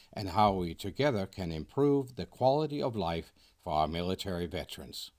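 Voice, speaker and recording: A man, a nonprofit founder reading a scripted first-person presentation in an earnest, measured way. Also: on the low side. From Denmark. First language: Danish. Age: 60s. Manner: earnest, measured read-aloud delivery.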